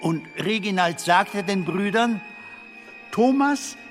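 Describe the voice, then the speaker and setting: A high-voiced, naive film comedian. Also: male voice, animated, takes it slowly. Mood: hesitant recounting. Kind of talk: radio play acting. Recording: long reverb of a tunnel, music behind.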